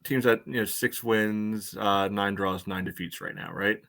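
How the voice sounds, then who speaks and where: A man co-hosting a sports podcast, asking questions in a measured way. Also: on the low side, steady tone, fairly bright tone. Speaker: podcast co-host. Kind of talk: casual chat.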